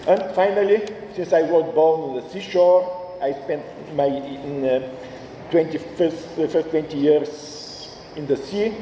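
A man in his sixties with a Bulgarian accent, giving an informal welcome speech, haltingly searching for words. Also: a little high, mellow. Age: 60s. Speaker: institute director giving a speech. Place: large auditorium stage. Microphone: podium microphone. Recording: echoey hall, distant, faint noise.

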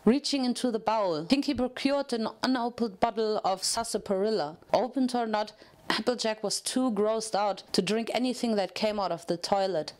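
A woman reading fiction aloud as a narrator, in an expressive, dramatic storytelling manner. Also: fairly high pitch, normal speed.

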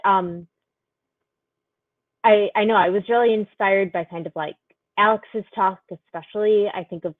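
A woman's voice: mid-range voice, dry recording, phone-quality sound. A woman answering a panel question on a video call, speaking casually with many "like" and "kind of" fillers.

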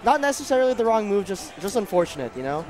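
A young man from the Bronx, casually commentating a fighting game tournament with jokey, excitable banter. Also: quick pace, poor audio. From the Bronx, New York. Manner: excitable, jokey banter, pitch jumps when hyped. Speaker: esports commentator.

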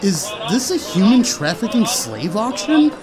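A man's voice: high voice, very animated, a little slow, over music, rough recording. A man playing a nervous, polite dinner guest out of his depth, hesitant and stammering, in an audio comedy sketch. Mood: wary.

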